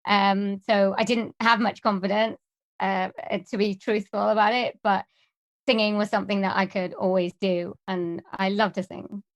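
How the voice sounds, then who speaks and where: A Welsh woman talks as an interview guest, earnest and open. She pauses often with soft ums, and her voice sits at a middle pitch. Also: flat delivery, muffled recording. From Wales. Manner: earnest, hesitant with frequent ums. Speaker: guest, singer and voice artist. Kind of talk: casual interview answers.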